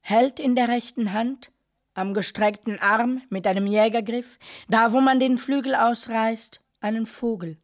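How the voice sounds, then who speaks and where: A woman reading literary narration for a radio play in deliberate, measured phrases with pauses. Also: a little high, fairly even intonation.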